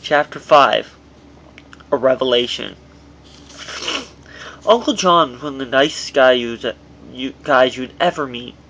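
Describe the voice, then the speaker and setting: A man's voice: medium pitch, a little slow, pauses often, a bit bright, highs cut off, slight background noise. A man reading his own memoir aloud as scripted audiobook narration, in an earnest manner with occasional stumbles over words.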